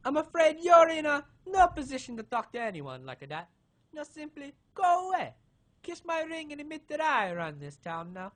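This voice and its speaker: A man's voice: very high-pitched, fairly bright tone, heavily acted. A man playing a menacing, theatrical mob don villain in a crime audio drama. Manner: theatrical, taunting.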